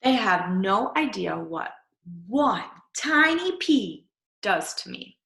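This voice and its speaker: Storybook young boy, performed by a woman: theatrical, full of dread, highly animated pitch, drawn-out suspense. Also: creaky, reverberant, full character voice. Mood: mounting horror. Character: dramatic young boy who dreads eating peas. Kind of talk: animated storybook read-aloud.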